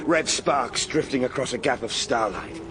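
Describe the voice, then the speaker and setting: A man's voice: mid-range voice, moderate pace, lightly acted. A man playing a desperate time traveler fighting for his life in a radio drama, speaking emotionally. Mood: tense. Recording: drama dialogue under loud ambient effects.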